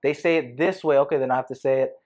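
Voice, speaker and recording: A young male podcast host talks quickly in a mid-range voice. His banter is laid-back and slangy, full of "bro" and "dude". It is casual, friendly conversation. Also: mid-range voice, fairly even intonation, a little mellow.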